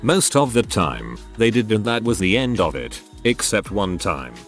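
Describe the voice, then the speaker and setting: Male narrator, lowish voice with lots of pitch movement, matter-of-fact reads, faint music underneath. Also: very animated, normal speed. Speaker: narrator reading online stories. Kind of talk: scripted narration.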